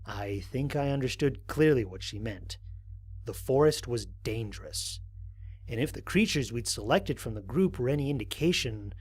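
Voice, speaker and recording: A man playing a thoughtful, wary space explorer, narrating scripted mission logs in a measured, articulate, reflective way. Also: medium pitch, animated, breathy edge. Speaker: narrator of a fan audio drama. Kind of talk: scripted first-person mission log narration.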